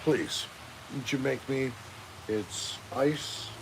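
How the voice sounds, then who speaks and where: A man's voice: mid-range voice, drawn-out words, creaky, noisy background. A man hosting a casual livestream chat outdoors by a fence, joking and rambling in a self-mocking way. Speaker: livestream host.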